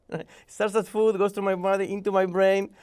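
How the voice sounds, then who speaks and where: A man's voice: high-pitched, level tone, normal speed, darkish tone, smooth. A man in his forties from Argentina, chatting casually in an interview, hesitant with lots of fillers and restarts. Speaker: recruiter at a tech company. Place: office building and company shuttle.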